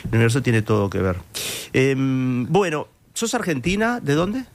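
A male interviewer with a Uruguayan accent talks in an informal, conversational way. He is wordy, thinking out loud and reworking his phrases as he goes, with a middle-range voice. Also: mid-range voice, animated, moderate pace. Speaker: interview host.

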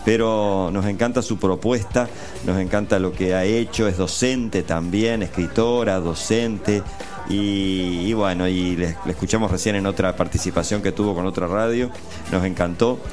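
Man, radio host, halting delivery, chatty, soft music behind. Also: sits low, fairly even intonation. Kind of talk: unscripted radio interview chat.

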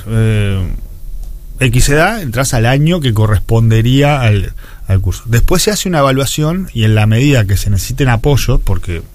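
A man's voice: medium pitch, darkish tone. A man in his forties with a Uruguayan accent, giving explanatory answers as an interview guest, often repeating words as he searches for phrasing. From Montevideo, Uruguay. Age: 40s. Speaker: interview guest, education official.

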